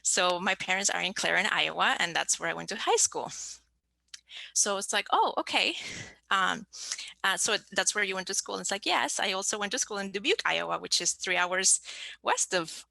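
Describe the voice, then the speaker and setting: Gravelly-voiced woman scientist. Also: mid-range voice, animated, pushed and bright, no room sound, rough recording. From Peru. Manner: halting, with many fillers and restarts. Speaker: scientist presenter. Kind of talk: online science talk.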